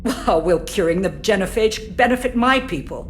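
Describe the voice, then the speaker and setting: A woman's voice: mid-range voice, lively intonation, normal speed, lightly acted. A woman playing a haughty, bitter alien political leader with an imperious, disdainful manner in a video game. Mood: defensive. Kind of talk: cinematic video game acting. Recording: dramatic game music behind.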